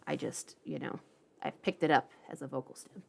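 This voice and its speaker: Lower-pitched woman, playful casual streamer. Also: moderate pace, creaky, a little hiss or hum. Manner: playful, informal, chatty asides. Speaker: livestream host. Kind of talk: casual livestream chat.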